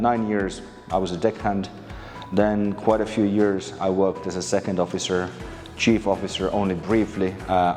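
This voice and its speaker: Deep-voiced man from Finland, hesitant. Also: darkish tone, in a large hall. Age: 30s. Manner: hesitant, many fillers and restarts. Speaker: maritime lecturer. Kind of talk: casual interview answers.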